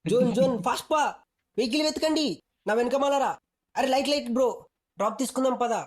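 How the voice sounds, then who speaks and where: A woman with a Telugu accent fires off short game callouts. Her voice sits a little high and moves very quickly. She sounds slangy and bossy, teasing as she gives orders. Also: darkish tone. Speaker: game voice pack speaker.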